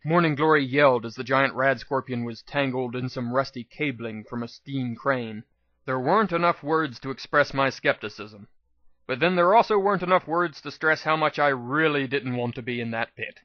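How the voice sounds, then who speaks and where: A man's voice: medium pitch, dry recording, lo-fi sound. A man giving a dramatic first-person scripted narration as the reader of a fan fiction audiobook.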